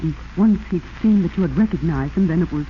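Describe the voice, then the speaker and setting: An actress playing a devoted, pleading young wife with an earnest, melodramatic 1940s radio delivery. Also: female voice, on the low side, fast, lightly acted. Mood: earnest distress. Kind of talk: 1940s radio drama acting. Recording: muffled, faintly hissy 1940s radio.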